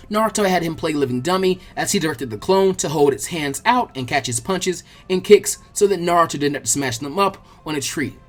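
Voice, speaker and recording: A man reading a story aloud as an expressive, colloquial audiobook narrator, with a husky voice. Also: fairly high pitch. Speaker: fan fiction audiobook narrator.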